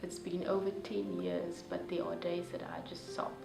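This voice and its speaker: A young woman with a low, gravelly voice that stays on one level. She talks in an open, heartfelt, hesitant way. She sounds set back from the mic in a roomy space, with guitar music behind her. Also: big pitch swings, normal speed, far from the mic. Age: young adult. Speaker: young reader reacting to a story. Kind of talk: emotional personal reflection.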